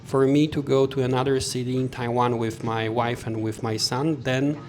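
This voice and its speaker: A middle-aged man from Europe with a European accent, speaking English as a non-native language, recalling his travels in a plain, halting way for a vlog. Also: medium pitch, fairly even intonation, darkish tone, slight background noise. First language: not English. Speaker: vlogger. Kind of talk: personal travel recollections to camera.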